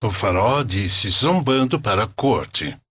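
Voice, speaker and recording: Slow, expressive, husky male storyteller. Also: on the low side, no echo. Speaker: narrator. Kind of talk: scripted storytelling narration.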